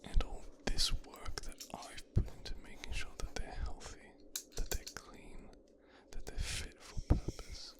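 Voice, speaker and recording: A man playing a menacing, possessive, resentful mafia boss's son in an intimate ASMR roleplay, speaking in a breathy, brooding, teasing hush. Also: natural voice. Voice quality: breathy, whispered. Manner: slow, hushed, brooding, teasing.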